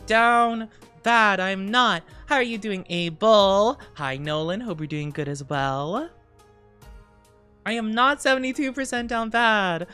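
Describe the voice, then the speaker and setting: A woman's voice: mid-range voice, fairly bright tone, clear, resonant tone. A woman streamer casually chatting with her viewers from her home streaming room, playful and teasing as she answers chat.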